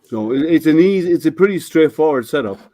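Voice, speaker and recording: Older man, a bit scratchy and halting. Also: on the high side, lively intonation, mellow, dry recording. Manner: halting, many restarts and fillers while explaining. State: slightly scratchy voice. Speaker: streamer showing his 3D printer build. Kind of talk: casual live-stream chat.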